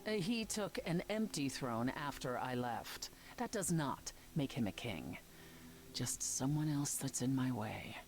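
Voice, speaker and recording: An actress voicing a proud, dry exiled queen of the underworld in a video game, measured and haughty. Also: a bass voice, animated. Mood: dismissive. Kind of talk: dramatic video game voice acting.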